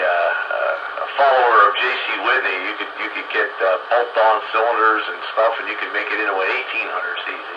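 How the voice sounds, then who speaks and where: Older man on heavily noisy, muffled radio. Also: on the low side. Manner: halting, reminiscing. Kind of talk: casual group chat.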